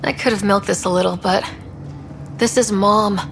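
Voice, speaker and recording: A woman plays a young space explorer in a sci-fi video game, earnest and conversational. Her voice sits at a middle pitch with plenty of creak. There is faint noise behind her. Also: fairly even intonation, normal speed, close to an everyday voice. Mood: tender, revealing. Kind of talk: scripted game dialogue.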